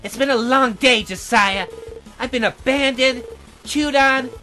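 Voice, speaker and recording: Man putting on a high, teasing young woman. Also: measured pace, sharp tone, soft background music, full character voice. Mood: unhinged, commanding.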